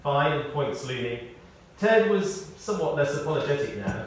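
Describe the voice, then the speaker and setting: A British man reads a memorial tribute in a large, heavily reverberant space, picked up some way from the microphone. His delivery is measured storytelling with animated rises and falls, pausing and leaning on key words. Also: a little high. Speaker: eulogist reading a tribute. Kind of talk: scripted memorial tribute with humorous anecdotes. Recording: very echoey, distant mic, faint noise.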